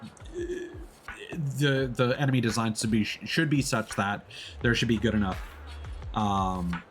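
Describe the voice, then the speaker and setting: A man with a fairly low voice talks casually through a gaming livestream. He thinks aloud in halting phrases, with clear music playing behind him. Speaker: livestreamer, gamer. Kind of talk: casual gaming stream commentary.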